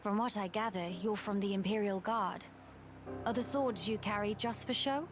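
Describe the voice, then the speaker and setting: A woman's voice: mid-range voice, slight background noise, lightly acted. A woman voicing a dignified young empress in a video game, formal, composed and stern. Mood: cool. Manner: formal, regal, measured.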